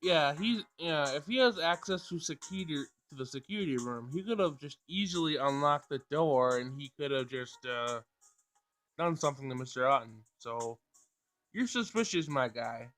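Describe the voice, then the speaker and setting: A man hosting a gaming video, casually thinking out loud in a tentative way as he plays and reads. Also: on the high side, animated, moderate pace. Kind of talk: casual let's play commentary and read-aloud game text.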